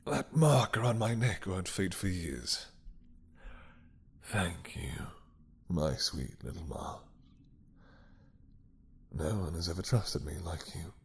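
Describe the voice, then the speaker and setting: A man playing a possessive, doting mad dragon king in a romantic roleplay drama, with a husky, breathy voice that often drops to a whisper and a regal, seductive manner. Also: deep voice, animated, exaggerated voice. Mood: triumphant, adoring. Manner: slow, regal, seductive purring menace.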